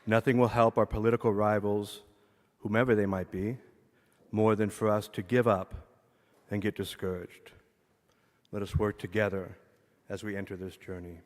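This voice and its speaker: A man serving as worship associate, welcoming a church congregation in an earnest, measured way. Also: lowish voice, flat delivery. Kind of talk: church welcome and opening reading. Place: church sanctuary. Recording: long church reverb.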